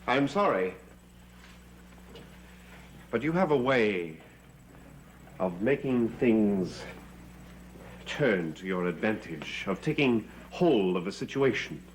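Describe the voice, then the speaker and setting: An actor playing a self-assured, curt master of a gothic mansion in a vintage soap opera, polite but cutting. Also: male voice, on the low side, very animated, slow, pauses often, a little hiss or hum, poor audio. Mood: cool, cutting. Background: background music.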